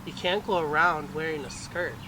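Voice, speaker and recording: A man's voice: fairly high pitch, fairly even intonation, normal speed, noisy background, natural voice. A man voicing a mocking, bossy older boy who talks in a blunt, taunting way in a picture-book story. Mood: scornful.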